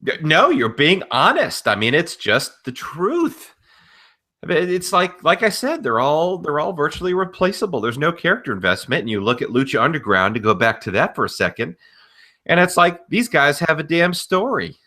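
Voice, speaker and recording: A man's voice: a little high, very animated, normal speed, bright, forceful tone. A man co-hosting a casual wrestling podcast, speaking in an exasperated, emphatic way. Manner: exasperated, emphatic, mildly profane. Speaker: podcast co-host. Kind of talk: casual wrestling commentary chat.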